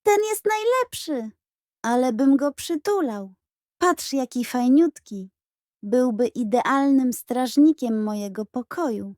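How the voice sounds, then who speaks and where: A woman acts out an eager little boy in a children's audiobook, high and breathless. He is wide-eyed and enthusiastic, a younger brother thrilled by everything, heard in a dry recording. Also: lively intonation, slow pace, a little mellow, no room sound. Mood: adoring.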